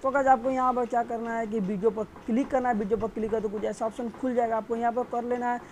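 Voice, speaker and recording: A man's voice: extremely high pitch, fast, a little hiss or hum. A young man hosting an app tutorial, explaining steps earnestly while gesturing with his hands, recorded on a lapel mic in a small home. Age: young adult. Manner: earnest, talks with animated hand gestures. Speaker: tech tutorial host.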